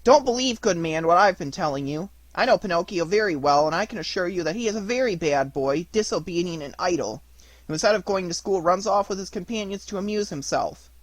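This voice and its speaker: A man voicing an eager, fibbing young wooden puppet boy in a storybook, earnest and excitable. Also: high voice, a little fast, no room sound, pitched above the performer's own voice, rough recording. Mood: alarmed, confessing.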